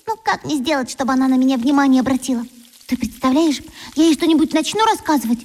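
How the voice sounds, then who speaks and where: A woman's voice: high voice, quick pace, darkish tone, natural voice. An actress voicing an eager, adoring eleven-year-old schoolgirl in a radio play, talking in a pleading, gushing way.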